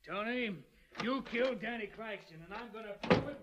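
An American actor playing a tough, blunt police sergeant in a 1940s radio crime drama, slangy and menacing. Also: male voice, high-pitched, normal speed, muffled recording, heavy background noise, lightly acted. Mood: bullying.

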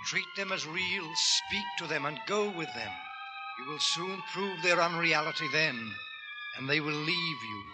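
An actor playing a firm army doctor giving measured advice as a remembered voice in a 1950s radio drama. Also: male voice, a little high, over loud music, lightly acted. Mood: calm, instructive.

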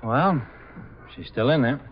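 An actor playing a steady, deferential, plain-spoken police sergeant in a 1950s radio drama. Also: male voice, medium pitch, unhurried, slight background noise, close to an everyday voice. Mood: concerned. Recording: muffled 1950s radio recording.

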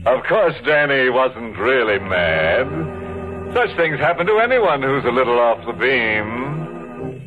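Creepy sardonic horror host, drags it out, tinny old radio, loud music. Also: male voice, mid-range voice, very animated. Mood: gleefully macabre. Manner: slow, sardonic, relishing macabre puns. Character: gleefully morbid, mocking male horror host. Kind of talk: 1940s radio horror hosting.

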